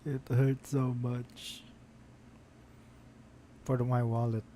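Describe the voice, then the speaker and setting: Brief: male streamer, very slow and mellow, quietly muttering through gameplay. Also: mid-range voice, little pitch movement, a little hiss or hum. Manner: mutters to himself while playing. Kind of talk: casual gameplay commentary.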